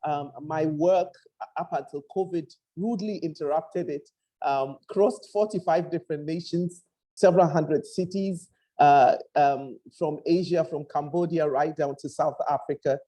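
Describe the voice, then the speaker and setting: A woman pastor with a Ghanaian accent preaches to a remote audience in an earnest, reflective way. Her voice is mellow and warm, and she often hesitates and repeats words. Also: low voice, measured pace, rough recording. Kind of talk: sermon-style talk. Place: home in Accra, speaking to a remote audience.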